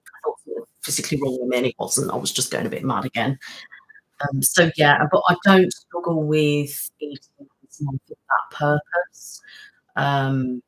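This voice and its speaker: A woman's voice: rumbling low, moderate pace, a bit bright, poor audio. A middle-aged British woman, an ASMR channel host, chatting casually on a live stream and answering viewers, rambling with many fillers. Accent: British English.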